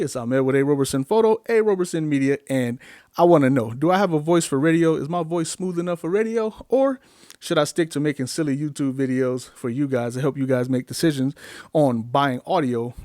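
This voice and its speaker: A man's voice: mid-range voice, darkish tone. Chatty upbeat man reviewing mics. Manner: upbeat, chatty, rhetorical questions. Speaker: YouTube tech reviewer. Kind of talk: casual vlog talk to camera. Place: home studio with gear behind. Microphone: desk-mounted condenser mic on an arm.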